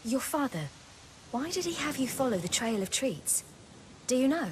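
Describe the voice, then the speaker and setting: An actress voicing a calm, protective young swordswoman in a fantasy game, gentle but firm. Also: high voice, normal speed, a little hiss or hum. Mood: gentle, probing. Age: young adult.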